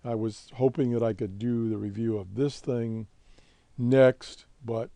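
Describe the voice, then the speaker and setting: A man hosting a casual hobby video, reviewing gear in a halting, rambling way and correcting himself as he goes. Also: mid-range voice, steady tone, gravelly, warm tone, dry recording. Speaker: host of a radio hobby video show. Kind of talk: casual product review to camera.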